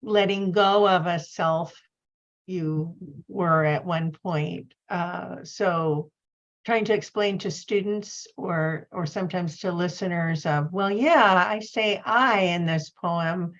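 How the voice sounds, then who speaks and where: A woman's voice: lowish voice, slow pace. A woman moderating a panel conversation, reflective and a little hesitant, on a dull recording. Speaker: event moderator and poet.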